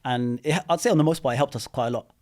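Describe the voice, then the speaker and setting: A man, a podcast guest telling his story in a relaxed way, full of "you know" and restarts. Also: medium pitch, rapid-fire. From London. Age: 30s. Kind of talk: casual interview chat. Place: studio.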